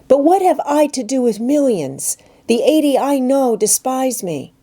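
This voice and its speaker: Woman voicing an anguished young orphan schoolgirl, pitched up. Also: very animated, measured pace, warm tone, breathy edge, exaggerated voice. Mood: hurt, distressed. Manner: impassioned, pleading, self-pitying. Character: anguished orphan schoolgirl, about ten, passionate and despairing. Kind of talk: dramatised audiobook reading.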